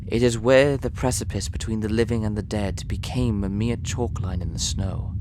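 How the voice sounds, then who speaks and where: A man's voice: low-pitched, lightly acted. A man playing a solemn cultist narrator in an audio drama, delivering formal scripted narration. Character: solemn cultist narrator guarding a sacred secret. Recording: clear background noise and ambience.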